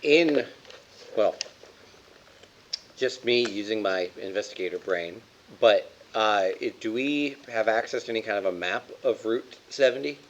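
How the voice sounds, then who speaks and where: A man's voice: fairly low pitch, measured pace. A man playing a tabletop game, casually and tentatively thinking aloud as he talks with the group. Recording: muffled home recording with faint hiss.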